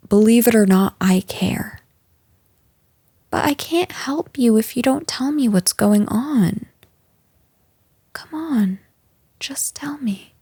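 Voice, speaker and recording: A woman playing a caring female boss in an intimate audio roleplay, speaking gently and earnestly with hesitant pauses. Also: medium pitch, creaky, no room sound, studio quality. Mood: concerned, coaxing.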